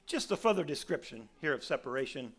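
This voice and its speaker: A man in his sixties with a raspy voice, preaching a sermon in a folksy storytelling way with many restarts. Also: on the high side, big pitch swings, quick pace.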